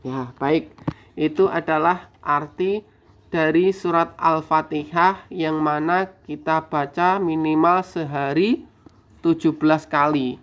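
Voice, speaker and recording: A man teaching a scripture lesson, chanting the verses slowly and then explaining them to viewers he calls friends. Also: a little high, steady tone, fairly bright tone. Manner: slow drawn-out recitation, then quick explaining. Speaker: religious teacher. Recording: dull lo-fi recording.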